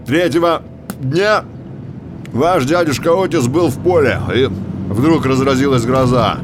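A man's voice: medium pitch, a little slow, close to an everyday voice. An actor playing a talkative small-town man, a folksy, vigilant busybody telling stories emphatically in an audio drama, often adding tag questions. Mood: gossipy, animated. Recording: clear car noise behind the voice. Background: car engine, outdoor vehicle ambience.

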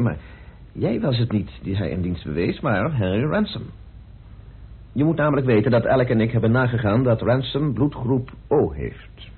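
A measured, principled man in a radio drama. He speaks deliberately and firmly in a very husky voice. The sound is narrow, like a telephone. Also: mid-range voice, full character voice. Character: measured, probing man laying out evidence, firm and principled. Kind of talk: radio play acting. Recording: muffled, narrow old radio recording.

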